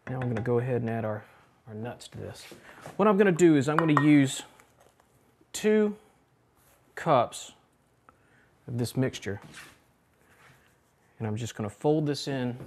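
A man's voice: mid-range voice, a little slow, pauses often, noisy background. Middle-aged man demonstrating home cooking. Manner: relaxed step-by-step instruction with fillers. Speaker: cooking show host. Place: home kitchen, indoors.

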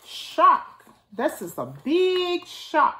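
Slow, lively middle-aged woman reading to children. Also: very high voice, a little hiss or hum. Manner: simple, deliberate phrasing with repeated key words. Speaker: storytime host reading a picture book to young children. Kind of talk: read-aloud storytelling for small children. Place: indoors in a home, beside a staircase.

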